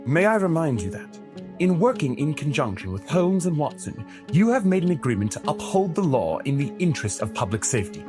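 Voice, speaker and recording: An earnest Victorian inspector pleads in formal, wordy sentences. His intonation swings widely as exasperation creeps in. Soft music sits behind this audio drama voice. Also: fairly high pitch, very animated, a little fast. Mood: cautious, earnest. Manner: formal, wordy, hesitant, imploring.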